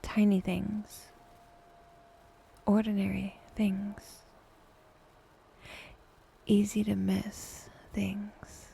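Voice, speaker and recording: Slow, near-whispering woman guiding meditation. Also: mid-range voice, completely flat delivery. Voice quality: soft, breathy, often near-whispered. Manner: unhurried, with long pauses between short phrases. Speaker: meditation guide, podcast host. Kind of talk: guided meditation, soft slow scripted narration.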